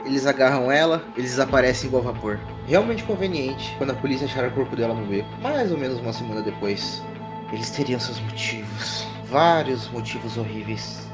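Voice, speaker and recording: A man's voice: mid-range voice, close to an everyday voice. A man with a Brazilian accent playing a streetwise, cynical media reporter in a cyberpunk audio drama, blunt and slangy.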